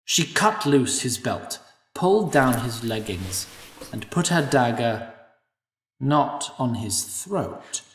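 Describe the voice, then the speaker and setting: A man narrating an audio drama in an expressive storytelling manner. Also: medium pitch, slow pace, slight background noise. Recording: echoey room, distant mic.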